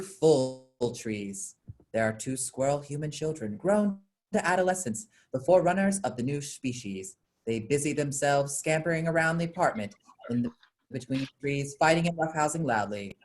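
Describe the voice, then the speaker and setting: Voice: mid-range voice, animated, normal speed. A narrator reading out scripted stage directions for a play.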